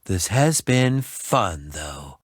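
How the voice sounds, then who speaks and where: A man's voice: deep voice, big pitch swings, very slow, slightly rough, dry recording, clean studio sound, heavily acted. An actor playing an eccentric, self-important man who fancies himself a ninja in a video game, speaking in a pompous, dramatic, wordy manner. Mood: musing. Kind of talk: animated video game voice acting.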